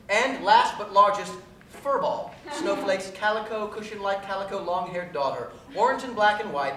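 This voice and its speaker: A man gives a live dramatic reading of a first-person story on stage, in a measured, deliberate storytelling manner. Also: a bit bright. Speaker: reader performing a short story on stage. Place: theatre stage at a live cabaret, recorded from afar. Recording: echoey distant stage recording, faint hiss and rumble.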